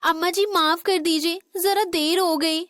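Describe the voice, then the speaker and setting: A woman voicing a gentle, dutiful young Indian daughter-in-law in an animated moral story. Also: very high voice, a little slow, dry recording, clean studio sound. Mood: apologetic.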